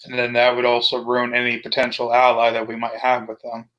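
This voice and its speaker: A man's voice: medium pitch, monotone, a little fast, lo-fi sound. A man casually discussing options as a player in a tabletop role-playing game.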